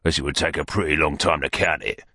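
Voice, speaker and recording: A man's voice: very deep voice, fairly even intonation, fast talker, sharp tone, slightly rough, no echo, clean studio sound, voice pushed down, full character voice. A man voicing a brutish, bitter London criminal in an audiobook, growling and surly with a Cockney accent. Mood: surly demanding. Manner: surly, demanding. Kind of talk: audiobook character acting.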